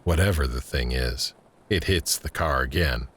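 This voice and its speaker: A man with an extremely low voice narrates an audio drama. He reads scripted scene headings and action as a storyteller setting each moment. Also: normal speed, fairly bright tone, slight background noise.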